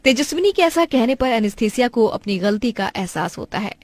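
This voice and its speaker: Woman, cartoon story narrator, high pitch, scripted reading, very dry. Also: animated, brisk, dry recording, soft background music.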